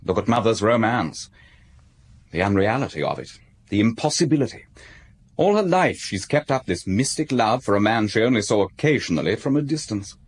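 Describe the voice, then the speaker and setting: An actor playing an awkward, guarded middle-aged aristocrat in a radio drama, polite and halting with nervous hesitations. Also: male voice, on the low side, sing-song, breathy edge, heavily acted. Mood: dismissive.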